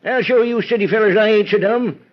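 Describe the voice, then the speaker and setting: Man acting a high-pitched cantankerous old country constable. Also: a little fast, close to an everyday voice. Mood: smug, insistent. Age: older. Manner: folksy, combative, rustic slangy speech. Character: cantankerous, crafty old small-town country constable and doctor. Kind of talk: old-time radio mystery acting. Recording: dull vintage radio recording.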